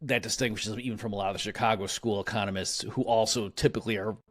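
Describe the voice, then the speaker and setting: A man's voice: lowish voice, steady tone, quick pace, a bit bright. A man being interviewed as an economist, explaining ideas in a casual, conversational way with frequent fillers and restarts. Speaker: economist guest.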